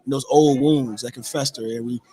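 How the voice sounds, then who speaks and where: A man's voice: medium pitch, fairly even intonation, normal speed, dark tone, poor audio. A man interviewed as a stage actor, speaking casually, modestly and sincerely in an informal way. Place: on location at a theatre after a performance. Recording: crowd chatter around the voice. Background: crowd chatter.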